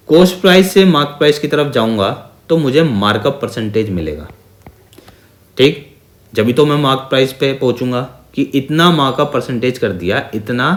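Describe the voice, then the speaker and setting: An Indian man teaching an exam-prep maths lesson step by step in a didactic way, mixing Hindi with English terms and often asking check-in questions. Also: medium pitch. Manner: didactic, with frequent check-in questions and repeated phrases. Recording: echoey, reverberant room sound.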